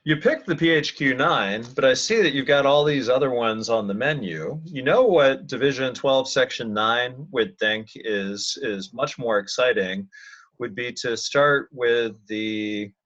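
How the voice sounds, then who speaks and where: A man leading a casual work conference call, thinking aloud as he presents and often pausing to restart his sentences. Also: medium pitch, fairly bright tone. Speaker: meeting leader presenting slides. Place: online video conference call. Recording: muffled, lo-fi conference call audio.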